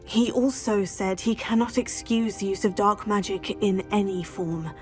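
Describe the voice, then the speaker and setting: Female actor, young student witch, composed, courteous, sympathetic, medium pitch, game dialogue, light music underneath. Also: lightly acted. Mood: cautious. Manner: measured, polite. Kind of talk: video game cutscene acting.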